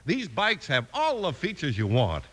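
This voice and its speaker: A man's voice: fairly high pitch, wildly swooping pitch, moderate pace, fairly bright tone. An American man announcing in emphatic, polished 1950s radio style, reading scripted promotions and story narration.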